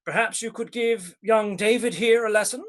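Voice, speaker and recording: An actor playing a jovial, bold outlaw leader in a radio play, with a hearty, teasing manner. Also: male voice, sits high, steady tone, normal speed, natural voice. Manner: hearty, teasing, laughing outbursts. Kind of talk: stage radio play acting.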